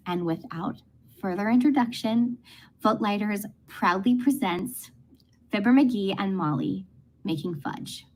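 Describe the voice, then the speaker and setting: A woman's voice: mid-range voice, a little slow, darkish tone, a little hiss or hum, rough recording. A woman speaking as a show's director, giving a scripted welcome speech to introduce a play.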